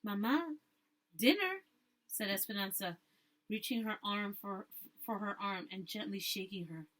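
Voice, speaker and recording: A woman teacher reading a storybook aloud to pupils and pausing to ask them questions. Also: medium pitch, fairly even intonation, a bit bright.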